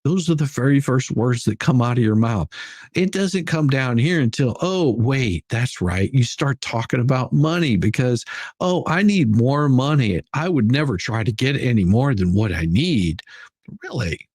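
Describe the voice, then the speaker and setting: A man's voice: medium pitch, lively intonation, normal speed, a little mellow, breathy edge, no echo, clean studio sound. A man hosting a casual livestream, commenting in a mocking, sarcastic way and rambling through repeated phrases as he chats with viewers. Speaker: livestream host.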